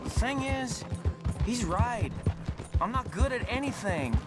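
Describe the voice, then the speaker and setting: A man's voice: very high-pitched, lively intonation, takes it slowly, heavily acted. An actor playing an earnest, naive young man in a video game, plaintive and easily put on the defensive. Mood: defensive, dejected. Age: young adult. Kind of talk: naturalistic video game drama acting. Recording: music behind game dialogue.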